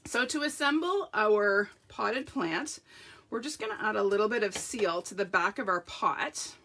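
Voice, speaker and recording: Croaky-voiced woman demoing card crafts. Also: mid-range voice, measured pace, a bit bright. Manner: chatty, self-deprecating, talks while working with hands. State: croaky sore throat. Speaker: card-making demonstrator. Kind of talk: live craft tutorial with viewer shout-outs.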